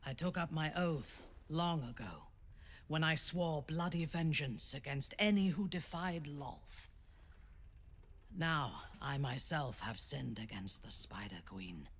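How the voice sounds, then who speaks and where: An actress voicing a proud, vengeful female dark elf paladin in a video game, speaking in a measured, imperious, menacing way. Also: deep voice, husky, heavily acted. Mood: solemn resolve. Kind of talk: video game character acting.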